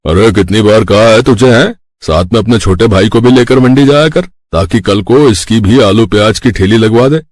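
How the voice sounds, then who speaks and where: A man plays an elderly father-in-law in a cartoon moral tale, nagging and exclaiming. His voice sits fairly low. The recording is dry and close. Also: moderate pace, dry recording, lightly acted. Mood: scolding. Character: grumbling elderly father-in-law of a poor family. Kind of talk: Hindi moral-story cartoon voice acting.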